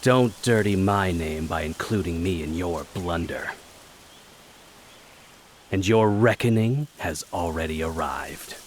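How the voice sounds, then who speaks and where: A man's voice: low-pitched, animated, takes it slowly, a little hiss or hum, full character voice. A man playing an arrogant, ruthless army captain in a video game, cold and scornful. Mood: cold menace. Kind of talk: video game cutscene acting.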